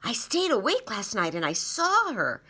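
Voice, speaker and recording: A woman voicing an excited, stubborn little girl in a children's storybook, with an eager, insistent delivery. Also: fairly high pitch, moderate pace, husky, pitched above the performer's own voice, full character voice. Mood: excited secretive.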